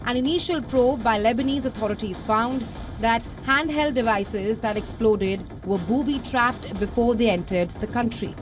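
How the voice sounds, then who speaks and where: A woman reading a scripted news report in a formal, measured delivery. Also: a little high. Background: music bed.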